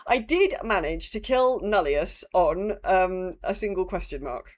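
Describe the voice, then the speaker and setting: A woman's voice: on the low side, expressive, measured pace. A woman co-hosting a podcast in casual gaming chat, feeling her way through sentences and swearing freely. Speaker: podcast co-host.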